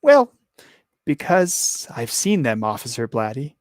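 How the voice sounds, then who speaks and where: A hoarse man reading a calm, gleeful male serial killer in custody, slow, low and matter-of-fact. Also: no room sound, clean studio sound.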